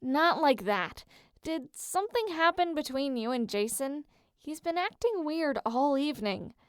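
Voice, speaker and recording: A woman's voice: high-pitched, expressive, unhurried, dry recording, voice pushed up. Woman acting a cheeky, brash teenage boy, close-up dry sound. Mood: concerned. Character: Leo, cheeky, teasing teenage boy demigod. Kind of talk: dramatic reading of a fan story.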